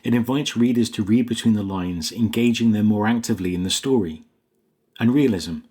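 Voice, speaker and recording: Male writing coach, lower register, husky edge, measured explanatory delivery of scripted instruction. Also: warm tone.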